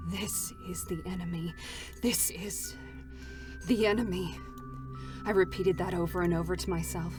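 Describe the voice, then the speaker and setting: A woman voicing a weary, battle-hardened female android deserter, speaking in a cold, flat, bitter way during a live concert reading. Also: fairly low pitch, moderate pace, close to an everyday voice. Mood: somber. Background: faint music.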